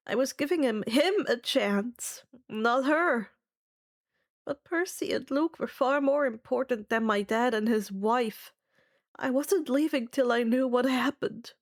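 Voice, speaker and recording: A woman narrating fan fiction in an expressive audiobook style. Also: on the high side, a little slow.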